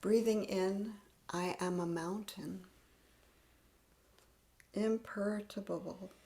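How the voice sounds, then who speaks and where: A woman gently leading a spiritual meditation practice over a video call, speaking softly and often half-whispering. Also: medium pitch, fairly even intonation. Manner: gentle, unhurried, laughs lightly. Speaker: meditation leader.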